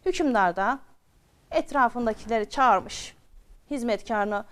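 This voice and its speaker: A woman telling a story to children, expressive and often turning to her listeners with questions. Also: a little high, unhurried.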